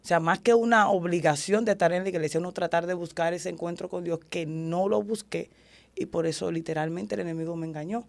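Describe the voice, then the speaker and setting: A woman's voice: on the low side, fairly even intonation, brisk, vocal fry. A woman with a Dominican accent, a prophet and ordained minister, earnestly telling her life story as a guest in an interview. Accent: Dominican Spanish. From Dominican Republic. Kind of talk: testimony in an interview.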